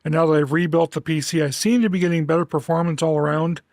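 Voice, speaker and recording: A man chatting casually on a home livestream through a headset mic, rambling with lots of restarts and fillers in a relaxed, soft-spoken way. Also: on the high side, quick pace, dry recording, studio quality. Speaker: streamer.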